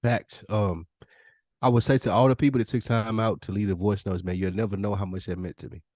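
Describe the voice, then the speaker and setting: American male co-host, lower-pitched, very dry recording, earnest and emphatic casual talk. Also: fairly even intonation, a little fast, darkish tone, no echo. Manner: earnest, emphatic, repeats phrases for weight. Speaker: podcast co-host. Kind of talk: casual podcast chat.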